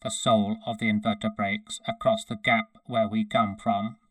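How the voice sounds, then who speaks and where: Storybook cyborg soldier, played by a man: obedient, emotionless, clipped, monotone, lowish synthetic metallic voice. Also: measured pace, dry recording, lightly acted. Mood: toneless, obedient. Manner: toneless, clipped, matter-of-fact.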